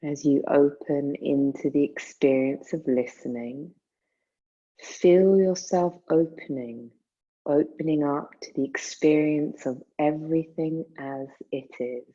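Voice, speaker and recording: A woman guiding a meditation in a soothing, unhurried manner, leaving long pauses between phrases. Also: low voice, warm tone, highs cut off. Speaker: yoga nidra meditation guide. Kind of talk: guided meditation.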